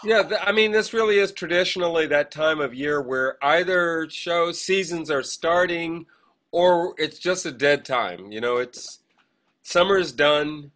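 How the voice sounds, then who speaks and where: A man's voice: fairly high pitch, unhurried, fairly bright tone. A man co-hosting a casual podcast, talking in a colloquial, wry way. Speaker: podcast co-host. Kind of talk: casual chat.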